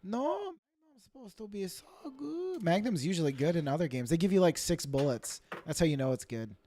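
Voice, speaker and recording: A man with a hoarse, failing voice, co-hosting a podcast and rambling casually with jokes and fillers while gaming. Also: fairly high pitch, big pitch swings, fairly quick, warm tone. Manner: rambling, joking, frequent fillers. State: losing his voice. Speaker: podcast co-host. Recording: game gunfire noise behind. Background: game gunfire.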